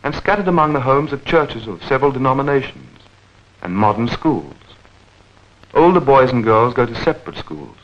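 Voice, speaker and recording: A man narrating a 1940s educational newsreel in a measured, clearly enunciated, explanatory manner. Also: medium pitch, very animated. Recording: dull vintage 1940s film soundtrack.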